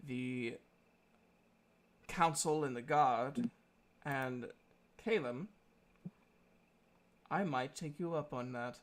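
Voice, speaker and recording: A composed, businesslike woman from a fantasy tabletop game, acted out by a man. The delivery is quiet and reserved, slow and measured. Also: medium pitch, close to an everyday voice.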